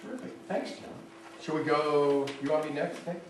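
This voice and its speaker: A man's voice: on the high side, fairly even intonation, moderate pace, a loud crowd. A man leading a class in introductions, laid-back and chuckling as he talks. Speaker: course instructor. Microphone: room recorder at a distance. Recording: echoey classroom from far off, music.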